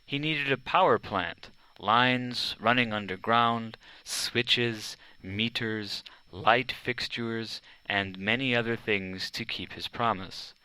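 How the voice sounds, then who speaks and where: Slow, crisp-voiced man reading a storybook. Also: on the low side, sharp tone, no room sound, rough recording. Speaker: audiobook narrator. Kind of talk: scripted narration of a children's biography.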